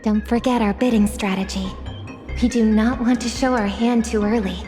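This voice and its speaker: A woman voicing a calm, thoughtful young woman in a video game cutscene, speaking gently. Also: fairly high pitch, moderate pace, close to an everyday voice. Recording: studio voice with long reverb and music.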